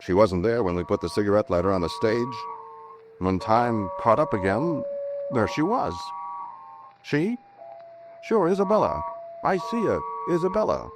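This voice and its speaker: An actor playing a skeptical, wry scientist in a 1940s American radio drama, talking in a conversational, teasing way. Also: male voice, on the low side, sing-song, moderate pace, long pauses, noisy background. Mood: wry storytelling. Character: skeptical, wry scientist boss, adult man. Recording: enhanced 1940s radio recording.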